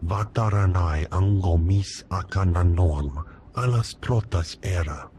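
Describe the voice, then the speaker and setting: A man voicing an alien in a video game, speaking an invented tongue in a measured, chant-like way. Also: low-pitched, dark tone, soft background music.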